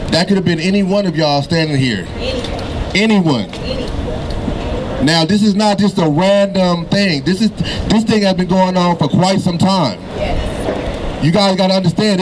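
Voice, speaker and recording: A man giving an urgent rally speech outdoors, repeatedly calling people to stand up and act. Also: on the high side. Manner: urgent, insistent, repeating calls to action. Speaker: rally speaker. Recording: outdoor rally with loud traffic.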